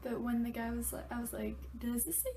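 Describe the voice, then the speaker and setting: A woman's voice: a little high, little pitch movement, brisk, dark tone, slight background noise. A young woman in her twenties, congested, chatting casually and loosely to camera in a small bedroom, swearing freely. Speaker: vlogger.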